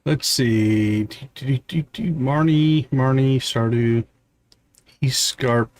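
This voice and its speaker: A man's voice: medium pitch, slow pace, darkish tone. A man giving casual, chatty livestream game commentary, playful and given to singsong humming and repeated words. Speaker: livestream gamer host.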